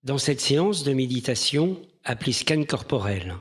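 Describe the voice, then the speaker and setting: A man leading a guided mindfulness meditation in a gentle, measured manner with pauses between phrases. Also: mid-range voice, animated, husky.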